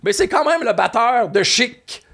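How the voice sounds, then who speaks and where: Lively raspy Québécois man telling stories. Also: very high voice, a little slow. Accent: Québécois French. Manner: animated, rambling anecdotes with many fillers. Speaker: guest, former music TV host. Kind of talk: casual storytelling chat.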